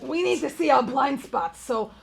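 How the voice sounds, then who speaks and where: Woman playing a creaky, exasperated middle-aged male bar owner. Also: sits high, lively intonation, moderate pace. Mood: bossy, businesslike. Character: 57-year-old divorced male bar owner, harried and exasperated. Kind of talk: live comedy table read. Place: live theater stage table read.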